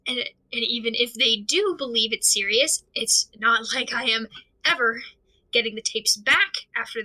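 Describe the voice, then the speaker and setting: A woman acting in an audio drama as an anxious, overwhelmed amateur investigator, talking through worries alone in a halting, stammering, rambling way. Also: on the high side, sharp tone, full character voice, poor audio. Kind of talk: audio drama monologue, recorded diary.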